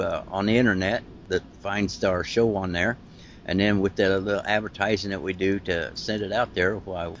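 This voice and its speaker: A man with an Ohio accent hosting a podcast interview over an internet call, talking in a rambling, halting, friendly way. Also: low-pitched, lo-fi sound, slight background noise. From Ohio, United States. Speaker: podcast host. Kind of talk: casual interview chat.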